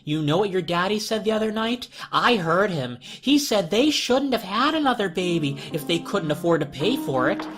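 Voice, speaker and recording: A woman voicing a smug, childish doll-like monster girl in a horror story, drawn-out and wheedling, with music behind. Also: mid-range voice, expressive, breathy edge, voice pushed up. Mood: sly, cruel. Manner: drawn-out, pouty, wheedling sing-song.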